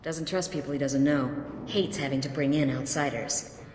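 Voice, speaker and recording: A worn-down mercenary lieutenant with a low voice. She talks frankly, with open resentment. The sound is roomy and reverberant, with faint noise behind. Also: steady tone, moderate pace, a little mellow. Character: female mercenary lieutenant, bitter, weary. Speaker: mercenary officer. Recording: echoey game mix.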